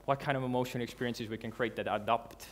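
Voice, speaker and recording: A man's voice: mid-range voice, fairly even intonation. Man giving an earnest talk on stage. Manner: earnest, halting, with restarts and non-native grammar. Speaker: conference speaker. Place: large dark hall with a seated audience.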